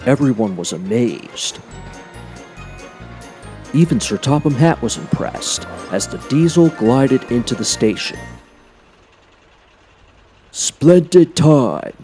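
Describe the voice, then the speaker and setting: Male storyteller, mellow, unhurried, children's story narration, soft music behind. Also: medium pitch, expressive.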